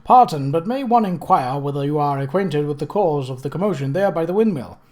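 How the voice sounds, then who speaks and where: A man's voice: fairly high pitch, expressive, fairly quick, darkish tone, lightly acted. A man doing a droll continental antiquarian. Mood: courteous. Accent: continental European. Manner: droll lift at the end of each sentence. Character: odd continental male antiquarian, droll, fussy.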